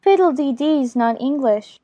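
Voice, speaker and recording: A woman's voice: high voice, lively intonation, measured pace, warm tone, highs cut off. A woman playing an earnest, polite young girl in a dramatized storybook, eager and decided as she reasons things out. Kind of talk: dramatized audiobook acting.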